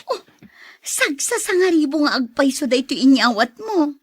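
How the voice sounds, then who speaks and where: An actress with an Ilocano Filipino accent playing an affectionate, hopeful wife in a radio drama. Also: female voice, high-pitched, big pitch swings, moderate pace, dry recording, studio quality. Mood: delighted. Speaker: actor in an Ilocano audio drama. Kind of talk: acted radio drama dialogue.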